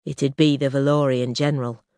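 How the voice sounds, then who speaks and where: A woman voicing a reserved young male ruler in an audiobook, with a restrained, measured delivery. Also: a bass voice, level tone, dark tone, dry recording. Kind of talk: audiobook character reading.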